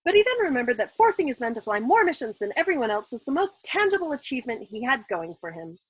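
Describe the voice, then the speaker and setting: A woman reading a novel aloud in an expressive, dramatic audiobook style, as the host of a storytime. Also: high voice, fast, husky, dry recording.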